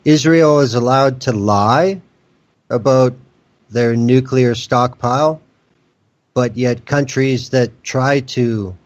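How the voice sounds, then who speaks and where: A man co-hosting a political talk show speaks slowly and makes dry, wry remarks. The audio is dull and lo-fi, like an online call. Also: medium pitch. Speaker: podcast co-host. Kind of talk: casual political discussion.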